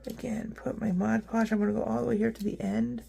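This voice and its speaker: A woman with a rough, hoarse voice hosting a casual craft how-to, chatty and upbeat with frequent ums and uhs as she works at her craft table. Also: fairly high pitch, flat delivery, fairly quick, dark tone. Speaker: craft tutorial host.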